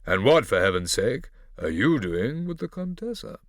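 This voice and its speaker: A man's voice: medium pitch, sing-song, moderate pace, no room sound, studio quality, voice pushed up. Man doing a cocky boy aping a haughty doctor. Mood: condescending. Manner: condescending, smooth. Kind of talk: audiobook character voice.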